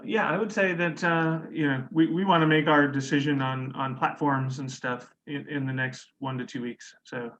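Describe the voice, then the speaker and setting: A man leading a planning meeting on a video call, decisive, with quick restarts. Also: fairly high pitch, fairly even intonation, a bit bright. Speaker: conference planning team lead. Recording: dull audio with faint room noise.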